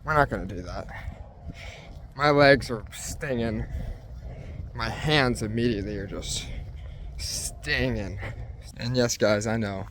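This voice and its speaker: Young man, casual vlog, self-mocking, talks to viewers, medium pitch, faint background noise. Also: takes it slowly, long pauses, a bit bright. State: congested, clearing throat. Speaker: fitness vlogger.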